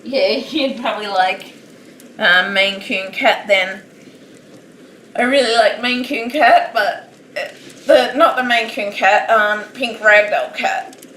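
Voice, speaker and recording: Loud-voiced woman chatting in a roomy room. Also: on the high side, slow pace. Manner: rambling, chatty, self-deprecating. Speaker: live-streaming craft hobbyist. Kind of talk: casual chat while crafting. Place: at home. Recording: roomy, distant mic, steady background noise.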